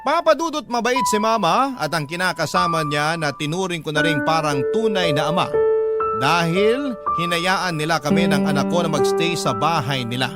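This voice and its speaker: A male radio storyteller with a slightly high voice and a Filipino accent. He delivers dramatic scripted narration. Loud music plays behind him throughout. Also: animated, moderate pace. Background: loud dramatic background music.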